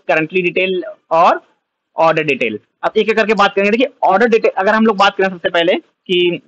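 A man's voice: high voice, fairly bright tone, dry recording, lo-fi sound. A man teaching a whiteboard lecture, who explains step by step and asks and answers his own questions. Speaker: computer science teacher.

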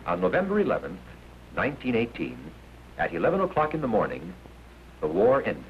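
Male documentary narrator, low and lively in pitch, formal and emphatic, 1950s classroom-film style. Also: a little fast. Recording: dull, noisy 1950s film soundtrack.

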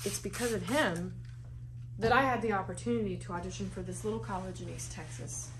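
A woman's voice: mid-range voice, brisk, background noise. Frank, salty woman salon owner. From Las Vegas, United States. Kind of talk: candid personal storytelling. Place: her salon.

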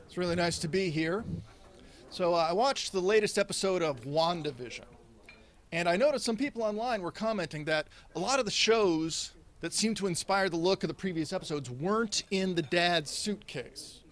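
A man in his thirties doing a deadpan stand-up comedy routine at a stand mic, gesturing as he talks. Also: high-pitched, normal speed. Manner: deadpan delivery with animated, sweeping hand gestures. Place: indoors, small set in front of a red curtain. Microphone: stand mic in front of him.

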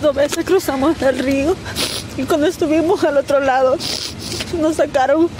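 A woman, giving a halting, emotional interview outdoors into a handheld mic, her voice close to tears. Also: sits high, fairly even intonation. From El Salvador. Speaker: migrant interviewee. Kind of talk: street interview testimony. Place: outdoors on gravel ground. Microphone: handheld reporter mic held close. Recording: noisy outdoor field recording.

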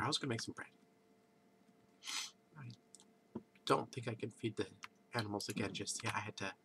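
A man's voice: on the low side, sharp tone. A man with a congested, stuffy voice, just woken up and casually rambling through gameplay commentary from his computer at home. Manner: rambling, thinks aloud with pauses. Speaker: let's play host. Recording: background noise with keyboard clicks.

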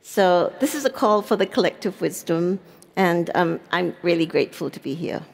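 A woman's voice: fairly low pitch, expressive, moderate pace, vocal fry. An older woman from Singapore speaking as a panelist on a big conference stage, earnest and rousing, asking rhetorical questions into a headset mic.

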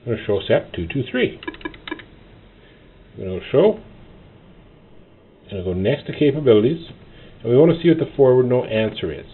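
A man narrating a step-by-step tutorial as an instructor, often in short, halting instructional phrases. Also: medium pitch, very animated. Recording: tinny phone-like audio, clear noise.

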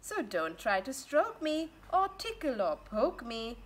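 A woman's voice: a little high, very animated, measured pace. Woman voicing a ferocious, boastful tiger. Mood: menacing boasting. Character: ferocious, boastful Siberian tiger, huge and menacing.

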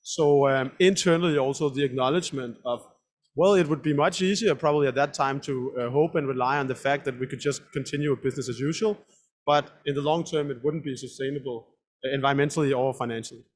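A man speaks as a panelist on an indoor conference stage, halting and restarting as he thinks aloud. His voice sits at a middle pitch for a man. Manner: halting, many fillers and restarts.

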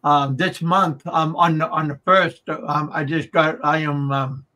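A man's voice: on the high side, fairly even intonation, a little slow, pushed and bright. A man in his early sixties chatting casually as a livestream host, hesitant and often repeating words. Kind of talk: casual chat. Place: indoor room at home. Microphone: microphone on a boom arm.

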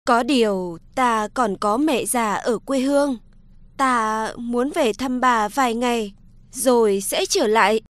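A woman's voice: on the high side, takes it slowly, no room sound. A woman voicing an earnest, polite young fisherman devoted to his mother in a cartoon folktale. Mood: tender, earnest.

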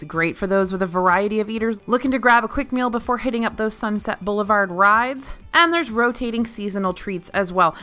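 A woman narrating a chatty food review, wry and opinionated. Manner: animated, wry and opinionated, jumps between high and low pitch. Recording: faint music behind.

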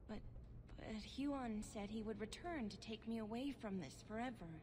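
An actress playing a troubled, gentle elven wife in a video game, speaking in a hesitant, halting way. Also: female voice, fairly high pitch, slight background noise, close to an everyday voice. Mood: fearful, pleading. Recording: game audio with clear background ambience.

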